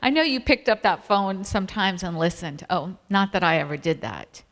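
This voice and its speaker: A woman's voice: medium pitch, lively intonation, moderate pace, a bit bright. A middle-aged or older American woman giving a religious family seminar talk to a live audience. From Appalachian Virginia, United States. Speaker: seminar speaker. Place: large hall at a camp meeting. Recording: reverberant hall sound system.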